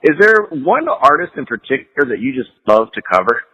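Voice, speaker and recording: A man's voice: medium pitch, big pitch swings, a little fast, bright, forceful tone, breathy edge, poor audio. A man hosting an internet radio interview, upbeat and chummy with his guest. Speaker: radio show host. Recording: radio broadcast.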